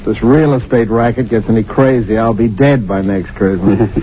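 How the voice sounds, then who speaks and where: A man's voice: lowish voice, normal speed, soft background music, lightly acted. An actor playing an anxious real estate agent who stammers as he confides in a friend, in a 1940s radio drama. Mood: worried. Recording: lo-fi 1940s radio broadcast with hiss.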